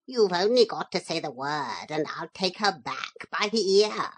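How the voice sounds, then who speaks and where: A woman's voice: lowish voice, lively intonation, a little slow, husky. A woman voicing a proud, courteous male parrot who talks in a polite, formal way while making cool threats.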